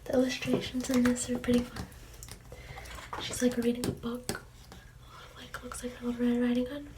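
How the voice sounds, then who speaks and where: High-voiced woman whispering a storybook. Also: completely flat delivery, normal speed, distant mic, background noise. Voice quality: soft breathy whisper. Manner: gentle, with quiet asides on the pictures. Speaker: storybook reader. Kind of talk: whispered ASMR storybook reading.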